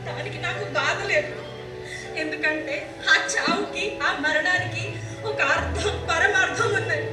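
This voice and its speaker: Woman acting a high-voiced grieving, proud mother, echoing hall. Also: a little slow. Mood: proud grief. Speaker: stage actor. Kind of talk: one-act stage play acting. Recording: echoey hall from far off, loud music.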